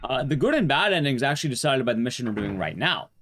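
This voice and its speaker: A man's voice: medium pitch, big pitch swings, quick pace, noisy background. A man giving casual, rambling live game commentary with chatty, jokey asides while streaming a speedrun.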